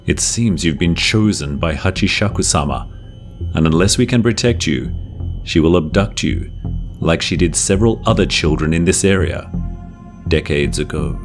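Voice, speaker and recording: A man voicing a grave old grandfather in a horror folktale, solemn and deliberate as he warns of danger. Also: sits low, soft background music. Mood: grave warning.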